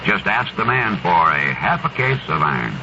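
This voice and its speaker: A chummy, folksy pitchman with a low voice that swings up and down in pitch, selling straight to the listener. The sound is a dull, noisy 1970s radio broadcast. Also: sing-song, normal speed. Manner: chummy, addressing the listener directly. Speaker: commercial pitchman. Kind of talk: folksy radio beer advertisement.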